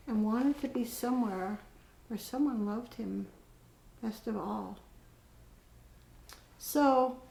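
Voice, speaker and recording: An older woman, a grandmother storyteller, reads a children's picture book aloud in an expressive, dramatic way with drawn-out pauses and stressed words. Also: fairly high pitch, creaky. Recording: roomy echo with faint background noise.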